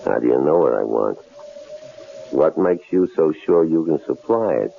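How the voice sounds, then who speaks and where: An actor playing a sneering, domineering captor in a 1970s radio drama, taunting and commanding in slangy talk. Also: male voice, rumbling low, expressive, normal speed, creaky, soft background music, heavily acted. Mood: cold, taunting. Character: menacing male captor, sneering, domineering thug. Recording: dull, hissy old radio broadcast.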